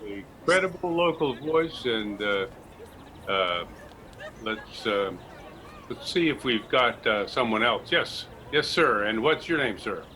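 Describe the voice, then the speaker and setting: A man playing a glib, deadpan street reporter in an improvised mock news comedy sketch, with faint background noise. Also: mid-range voice, takes it slowly. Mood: wry.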